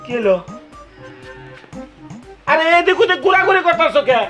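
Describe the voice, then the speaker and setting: A man's voice: very high voice, animated, moderate pace, bright, forceful tone, faint music behind. A man acting an older husband in a comic drama, coaxing his wife in village dialect. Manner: coaxing, defensive.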